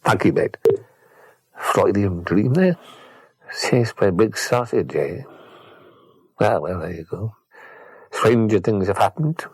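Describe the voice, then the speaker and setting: A man's voice: fairly low pitch, expressive, slow, pauses often, darkish tone, breathy edge, dry recording, low-quality recording. A man hosting a comedy phone-in who talks hesitantly and politely, with dry asides. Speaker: radio phone-in host.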